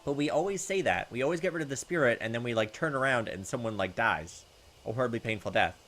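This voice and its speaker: Animated man doing game commentary over music. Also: mid-range voice, fast talker. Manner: chatty, reacts aloud and talks back to the game. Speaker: gaming video host. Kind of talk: casual Let's Play commentary mixed with reading game text aloud. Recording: music behind the voice. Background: video game music.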